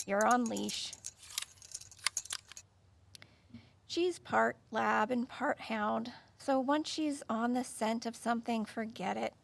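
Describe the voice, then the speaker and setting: An actress playing a terse, no-nonsense dog handler in an audio drama, clipped and matter-of-fact. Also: female voice, a little high, measured pace, creaky. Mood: brisk, guarded. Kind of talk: naturalistic audio drama acting.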